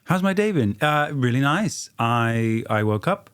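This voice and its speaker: A soft-spoken man in his thirties, a comedian guest chatting casually in a studio, hesitant and dry with lots of ums. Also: mid-range voice, sing-song, a little slow, clean tone, no echo. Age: 30s. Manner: hesitant, dry and self-deprecating, with frequent ums. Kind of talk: casual podcast chat.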